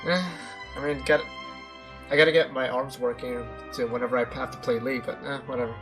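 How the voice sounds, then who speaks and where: A man streams a game in a medium-pitched voice. He chats informally, stumbling slightly over his words. Faint music sits behind him. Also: steady tone, a little fast. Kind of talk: casual playthrough reaction chat.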